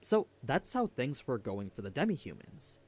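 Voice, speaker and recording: A man's voice: medium pitch, sing-song, moderate pace, a little mellow. A man giving a scripted story recap as a video narrator.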